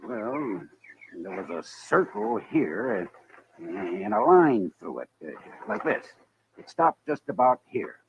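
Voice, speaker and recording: Respectable frontier banker, polite, expressive pitch, American accent, tinny vintage radio sound. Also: male voice, takes it slowly, creaky, heavily acted. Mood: helpful, curious. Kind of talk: golden age radio Western acting. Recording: tinny, hissy old radio recording.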